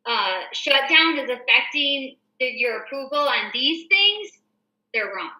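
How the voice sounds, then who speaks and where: A woman's voice: high voice, animated, unhurried, sharp tone. An American woman hosting a casual show, reading through news items and commenting in an informal, explanatory way. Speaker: show host and real estate agent. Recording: echoey room.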